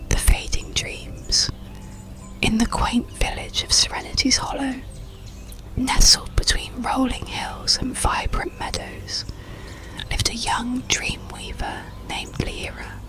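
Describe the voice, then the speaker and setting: A storyteller speaks in an unvoiced, breathy whisper with a crackly edge. The delivery is very slow and gentle, made for soothing bedtime ASMR stories and guided relaxation. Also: mid-range voice.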